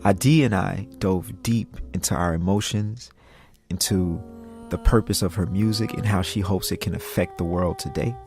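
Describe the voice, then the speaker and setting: Podcast host, very deep gravelly voice, interview and intro reads, music underneath. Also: moderate pace, darkish tone.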